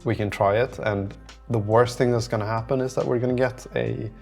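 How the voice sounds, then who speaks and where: A man's voice: medium pitch, steady tone, brisk, gravelly, a little mellow, soft background music. A man talking casually in a vlog, thinking aloud in a rambling, reflective way and often trailing off mid-thought. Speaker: app developer documenting his work on a vlog.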